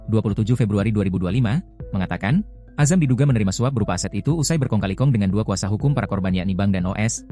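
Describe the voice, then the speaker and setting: A man's voice: on the low side, dark tone. A man reading scripted news narration as a news narrator.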